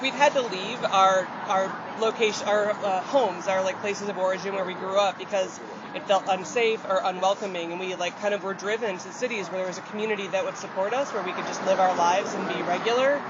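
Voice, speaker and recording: A chatty woman from rural Michigan talks openly about her music, her somewhat deep voice easygoing and full of fillers and small laughs. She is recorded outdoors on a city street, with loud traffic around her and a dull, muffled sound. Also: steady tone, a little fast. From rural Michigan, USA. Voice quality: deeper voice. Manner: chatty and open, with frequent fillers and light laughs. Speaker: country singer and accordionist. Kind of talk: casual interview answers.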